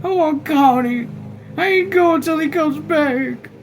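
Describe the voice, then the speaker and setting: Man putting on a high-pitched stubborn young country woman. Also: slow pace, slight background noise, full character voice. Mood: pleading, stubborn. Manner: plaintive, folksy rural speech. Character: stubborn, heartbroken pregnant young woman waiting for her man.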